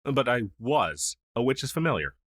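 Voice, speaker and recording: A man's voice: mid-range voice, sing-song, unhurried, fairly bright tone, no room sound, clean studio sound. A man playing a friendly, down-on-his-luck crow demon who was once a witch's familiar, in a fantasy audio roleplay, speaking earnestly with hesitant stammers. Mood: surprised, friendly.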